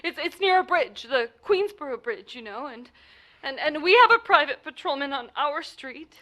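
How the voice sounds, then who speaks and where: A woman's voice: high-pitched, animated, normal speed, sharp tone. A woman playing an anxious, imperious bedridden wife in a 1940s-style radio play, stammering and insistent in an agitated way. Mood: anxious, fretful.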